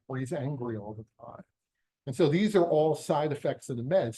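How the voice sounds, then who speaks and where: A man's voice: a little high, darkish tone, rough recording. A middle-aged American man giving a conversational medical lecture, explaining emphatically and posing rhetorical questions. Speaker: physician lecturer, pediatric epilepsy surgeon.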